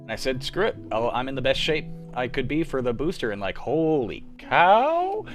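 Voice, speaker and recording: A man's voice: mid-range voice, very animated, normal speed, faint music behind. A man telling rambling stories full of fillers in a casual group chat on a livestream. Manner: rambling, filler-heavy storytelling. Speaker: radio drama troupe member leading the chat.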